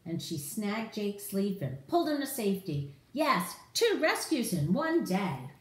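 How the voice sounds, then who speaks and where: A middle-aged woman reading a picture book aloud to children in an expressive, direct way. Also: mid-range voice, a little slow, echoey room. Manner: animated, addressing children directly. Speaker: storyteller for children. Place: room in a home, indoors.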